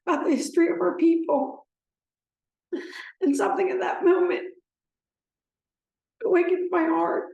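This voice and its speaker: An older American woman giving a personal talk to a gathering, speaking in a halting, emotional way. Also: high voice, vocal fry, a little mellow. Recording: roomy, echoing sound.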